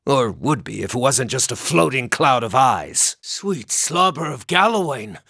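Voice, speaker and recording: A man's voice: medium pitch, measured pace, gravelly, no echo, studio quality, exaggerated voice. A man voicing an irreverent, lusty small furry druid in a video game, with a wry, theatrical delivery. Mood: alarmed, wry. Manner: wry, theatrical, swinging from excited to muttering. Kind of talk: video game character dialogue.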